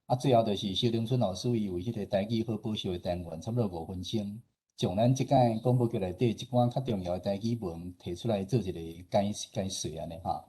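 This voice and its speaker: A man with a low, husky voice and a Taiwanese accent presents informally. His tone is dark and relaxed as he explains things, often ending phrases on little particles. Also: fast talker. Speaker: radio station head presenting at a forum.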